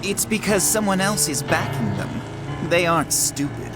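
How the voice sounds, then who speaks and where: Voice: mid-range voice, animated, normal speed, natural voice. Video game villain: actor as self-assured, condescending commander, mid-range voice, music-heavy mix. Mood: haughty, cold. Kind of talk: dramatic video game cutscene acting. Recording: loud music behind the dialogue.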